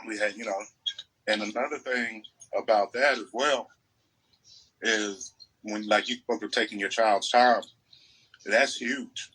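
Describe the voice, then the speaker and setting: A man's voice: fairly low pitch, fairly even intonation, measured pace, long pauses, sharp tone, a little hiss or hum, poor audio. An American man chatting casually as a podcast co-host, making opinionated points emphatically and repeating phrases.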